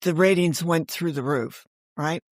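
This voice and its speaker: A woman's voice: low-pitched, a little slow, no room sound, studio quality. A woman being interviewed as a guest, telling stories in a chatty, digressive way and voicing other people's remarks as she goes. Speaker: interview guest, author and storyteller. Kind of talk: animated anecdotal storytelling in casual conversation.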